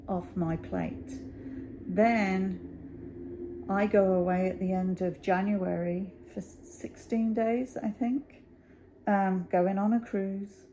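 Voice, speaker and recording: A middle-aged woman with a croaky voice, chatting casually to camera at home, rambling with many ums and pauses. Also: medium pitch, mellow, a little hiss or hum, rough recording. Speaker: cross stitch YouTuber.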